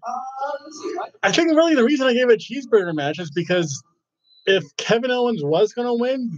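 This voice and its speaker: Excitable high-voiced man, lo-fi. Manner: rambling, excitable, restarts sentences. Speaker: wrestling review host. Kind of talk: casual chat. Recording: dull lo-fi recording.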